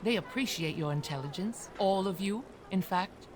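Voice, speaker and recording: An actress playing a kindly, motherly landlady in an audio drama, gentle and encouraging. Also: mid-range voice, lively intonation, normal speed, a little hiss or hum, natural voice. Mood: gentle, reassuring. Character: Mrs. Hudson, kindly, motherly, reassuring landlady.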